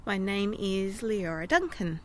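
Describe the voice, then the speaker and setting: A woman's voice: medium pitch, very animated, unhurried. A woman playing a plain, unsure visiting official in an audio drama, matter-of-fact and slightly puzzled. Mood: puzzled, polite.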